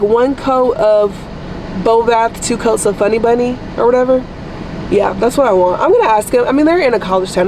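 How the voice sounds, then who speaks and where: A young woman vlogging casually to camera, chatty and rambling, her voice deeper than usual after losing it, with air conditioning humming around her. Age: young adult. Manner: chatty, rambling, says y'all a lot. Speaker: vlogger, nursing student. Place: coffee shop and car. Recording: noisy background with air conditioning.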